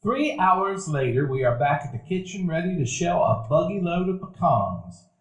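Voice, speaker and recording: A man narrates a live radio play in a reflective, storytelling manner. His voice sits slightly high. The sound rings in an echoey hall. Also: sing-song, moderate pace. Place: event hall before a live audience.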